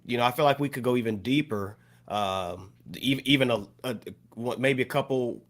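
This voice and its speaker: Male podcast co-host, mid pitch, reflective, loose delivery full of fillers. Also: moderate pace. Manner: thoughtful, many fillers and restarts. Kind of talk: casual discussion.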